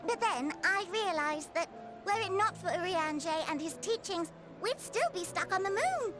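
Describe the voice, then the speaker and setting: An actress playing an earnest, eager young scholar in a game cutscene. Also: female voice, very high-pitched, animated, moderate pace. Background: game soundtrack music.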